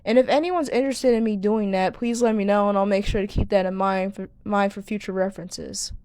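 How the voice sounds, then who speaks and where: A woman's voice: mid-range voice, steady tone, a little fast, darkish tone, clear, resonant tone, dry recording. A woman giving video essay commentary to viewers, speaking earnestly and now and then stumbling over words. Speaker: video creator and host.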